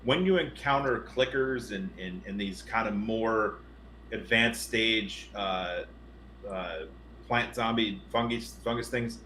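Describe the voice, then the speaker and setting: A bright, forceful male voice in a roomy, echoing space with faint noise. He co-hosts a casual podcast, rambling through his opinions in an animated way and swearing as he goes. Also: fairly low pitch, slow pace. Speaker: podcast co-host. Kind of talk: casual film and game discussion. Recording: roomy echo with background noise.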